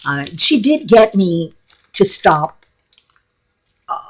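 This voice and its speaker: A woman's voice: medium pitch, big pitch swings, slow, a little hiss or hum. A middle-aged woman from New York with a New York accent, chatting casually and bluntly in a craft vlog, laughing often. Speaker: craft vlogger. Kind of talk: casual rambling chat. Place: home craft room.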